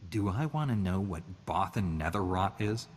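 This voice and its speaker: An actor playing a stoic Jedi hero who answers with dry, deadpan remarks in a video game. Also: male voice, sits low, normal speed, in a large hall, a little hiss or hum. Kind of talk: video game dialogue acting.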